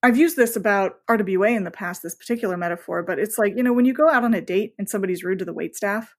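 Female podcast guest, mid-range voice, conversational, animated storyteller with nerdy enthusiasm. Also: medium pitch, fast talker. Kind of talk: casual interview conversation.

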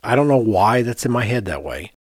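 Man, low voice, dry close-miked sound, casual explanatory podcast talk, thinking aloud. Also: sing-song, fairly quick, breathy edge, no echo. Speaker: podcast co-host, architect.

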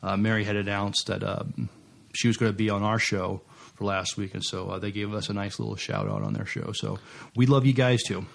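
Male co-host, low and creaky, hesitant, conversational. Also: expressive, brisk. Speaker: podcast co-host. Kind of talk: casual chat.

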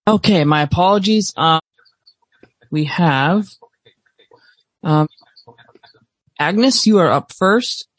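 A man moderating a call queue informally, in a halting, tentative way. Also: high-pitched, no room sound, rough recording.

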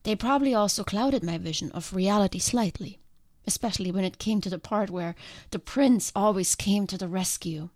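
A woman hosting her own podcast, talking in a calm, earnest and reflective way, with a congested voice from a sinus infection. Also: medium pitch, normal speed, no room sound, clean studio sound. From outside the country she now lives in. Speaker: podcast host. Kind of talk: reflective solo podcast monologue.

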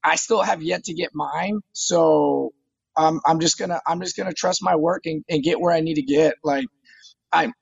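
Thirtysomething fighter guy, husky high voice, eager, trips over his starts, dry dull audio. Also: moderate pace, no room sound. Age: 30s. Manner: eager, repeats words when starting phrases. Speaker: MMA fighter guest. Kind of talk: casual interview talk.

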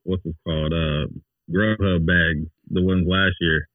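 A man's voice: deep voice, steady tone, measured pace, no room sound. A man in his forties chatting casually as a podcast guest. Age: 40s. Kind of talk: casual chat. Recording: thin phone-like band-limited sound.

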